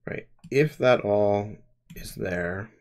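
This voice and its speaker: A man in his twenties talks through his code on a live stream, working out the logic aloud. His voice sits a little low. He pauses and restarts often as he thinks. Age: 20s. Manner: hesitant, many pauses and restarts. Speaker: game developer streamer.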